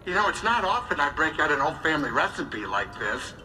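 A playful, middle-aged alien cook in a game cutscene. The voice is fairly high and very bright, with a lively, swooping delivery. It teases and chatters over light music. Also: male voice, a little fast, slight background noise, full character voice, rough recording. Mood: chatty, proud. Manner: animated, teasing, jokey. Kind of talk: video game cutscene acting.

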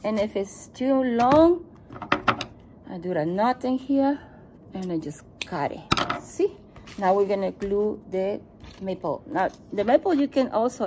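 A woman's voice: medium pitch, background noise. A middle-aged woman whose first language is not English leads a step-by-step children's craft lesson at a table, patient and encouraging, often repeating herself. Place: indoor room with table, at a desk.

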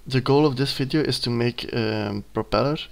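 A young man in his twenties, a native Dutch speaker with a Dutch accent, hesitantly talking through a screen-recorded software tutorial, with many ums and self-corrections. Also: mid-range voice, normal speed, creaky. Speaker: student giving a tutorial. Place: at a computer, indoors.

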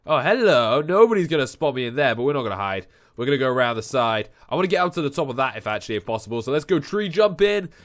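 A young man with a nasal, stuffy voice from a cold, giving excitable Minecraft commentary on a headset at home. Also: mid-range voice, fast, few pauses. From England. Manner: excitable, yelps and shouts when chased. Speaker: gaming YouTuber. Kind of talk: Minecraft let's play commentary. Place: home room.

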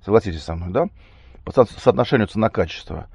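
A man with a slightly hoarse, cold-affected voice giving a casual, halting, off-the-cuff vlog monologue. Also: lowish voice, animated, a little mellow, no room sound. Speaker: vlogger. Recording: dull, muffled lo-fi recording.

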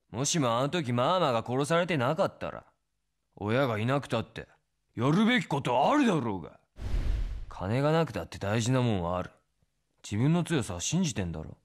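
An actor playing a tough, blunt young male street fighter in a Japanese game drama, gruff and rough-spoken. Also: medium pitch, lightly acted. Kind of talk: Japanese video game cutscene acting.

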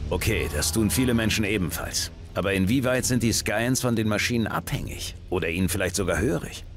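Deep-voiced, steady game hero. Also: male voice, a little fast, faint music behind. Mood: businesslike. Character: gruff, steady male commander, supportive hero of a sci-fi adventure. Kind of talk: video game dialogue acting.

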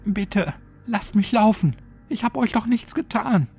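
A man's voice: high voice, expressive, measured pace, gravelly, a little mellow, faint music behind, pitched above the performer's own voice, heavily acted. A man voicing a blunt, slangy young village man in an audio horror story. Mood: panicked. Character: skeptical young village man, dismissive, turning panicked.

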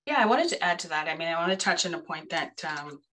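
A woman's voice: lowish voice, animated, fast talker, sharp tone. A woman from Zimbabwe speaking on a webinar panel, earnest and encouraging in an easy conversational way. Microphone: video call.

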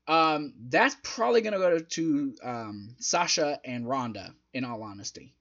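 A man's voice: mid-range voice, animated, normal speed, a bit bright, muffled recording. A young man giving a casual, opinionated review in an emphatic, conversational way, his throat sounding a little strained. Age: young adult. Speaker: wrestling review host. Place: small indoor home room. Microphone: desk microphone close in front.

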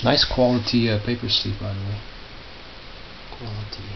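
Low-voiced man, muffled noisy recording. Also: steady tone, normal speed, vocal fry. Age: middle-aged. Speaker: record collector showing his collection. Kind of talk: casual off-the-cuff commentary. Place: small tiled indoor room by storage crates. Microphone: handheld camera held by the speaker. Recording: muffled, noisy low-grade camera audio with clicks.

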